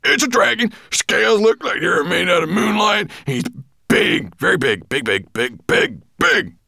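An excitable little storybook dwarf, pitched high above the reader's own voice and leaping about. He stammers breathlessly and repeats himself, eager and nervous. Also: measured pace, gravelly, dry recording, studio quality, full character voice. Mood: insistent, excited.

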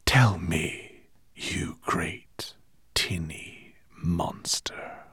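A man voicing a sly, fearless sand spirit from a storybook in a gritty, breathy whisper, slow and taunting. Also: sits low, fairly bright tone, no echo, studio quality, heavily acted.

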